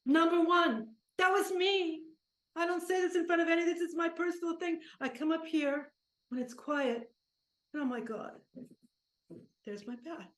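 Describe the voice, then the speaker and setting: A woman playing a cranky, sentimental aging ex-baseball pitcher with an aching back, rambling and muttering in an improvised monologue. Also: fairly even intonation, normal speed, long pauses. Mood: wistful, fond. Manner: rambling, muttering, groaning, talks fondly to objects. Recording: echoey room.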